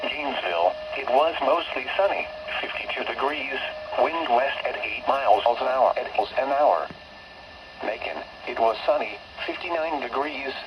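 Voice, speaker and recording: A computer-generated male voice reading a weather broadcast in a synthetic, evenly spaced delivery, with odd pitch jumps on numbers. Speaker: automated weather radio announcer. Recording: muffled weather radio receiver with static.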